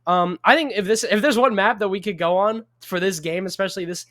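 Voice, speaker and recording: A man co-casting an esports match, upbeat and rambling, quick to joke. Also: high voice, fairly bright tone, no echo, studio quality. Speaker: esports caster. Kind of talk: casual esports co-commentary.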